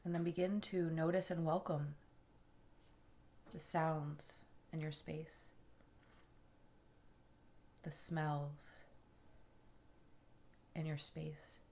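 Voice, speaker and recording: A woman leading a guided relaxation meditation in a soothing, unhurried way, with soft, breathy phrase endings. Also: low voice, flat delivery, a little hiss or hum. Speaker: yoga nidra meditation guide.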